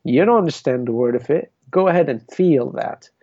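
A man's voice: mid-range voice, animated, a little fast, warm tone, no echo, muffled recording. A man from Lebanon, a dance artist, talking reflectively in a podcast interview, with many restarts. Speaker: guest, belly dance artist.

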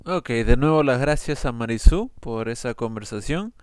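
A man's voice: medium pitch, expressive, a little hiss or hum. A man teaching an online English lesson, explaining patiently with many fillers. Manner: switches between Spanish and English, explains slowly with fillers.

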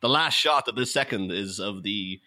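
A podcast guest, this man has a fairly low voice with a bright, forceful edge. He chats casually about films, explaining as he goes in loose, rambling turns. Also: lively intonation, moderate pace. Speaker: podcast guest, co-host of a film podcast. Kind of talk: casual film discussion.

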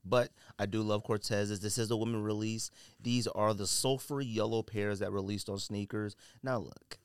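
Low-voiced American man, casual podcast host. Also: steady tone, moderate pace, clean tone, dry recording. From California, United States. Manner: informal, slangy, conversational. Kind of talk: casual solo podcast chat about sneakers.

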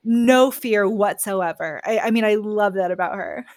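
A woman with an average-pitched voice joins a podcast as a guest. She discusses a book conversationally. Her retelling is animated, with short bits of dialogue acted out. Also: a little slow.

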